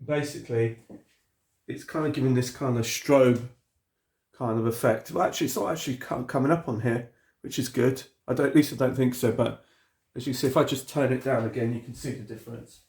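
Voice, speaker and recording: A man's voice: mid-range voice, fairly even intonation, a little fast, darkish tone. Sniffly man rambling through his model layout. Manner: rambling, many fillers and restarts. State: sniffly with a cold. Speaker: model railway hobbyist showing his layout. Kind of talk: casual walkthrough video. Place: indoor hobby room with the layout.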